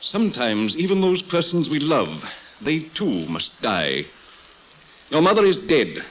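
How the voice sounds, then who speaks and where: A man's voice: a little high, very animated, unhurried, a bit bright, a little hiss or hum. An actor playing a cold, controlling aristocratic husband and father in an old-time radio drama, formal and menacing. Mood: cold, stern. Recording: old radio broadcast, faint music.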